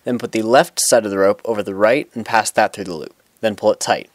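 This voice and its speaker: A man's voice: lowish voice, a little fast. A young man giving a plain step-by-step knot-tying tutorial outdoors, talking in an instructional way and now and then restarting a sentence. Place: outdoors on grass.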